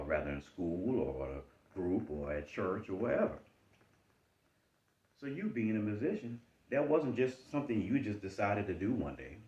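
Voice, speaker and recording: Deep voiced guy w/ charleston geechee accent, preachy self-help talk, roomy kinda dull sound. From Charleston, South Carolina. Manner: emphatic, repetitive, lively swings in pitch. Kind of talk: motivational talk.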